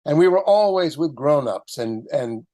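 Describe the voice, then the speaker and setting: A woman's voice: sits low, lively intonation, moderate pace, mellow. An older woman reminiscing casually in an interview.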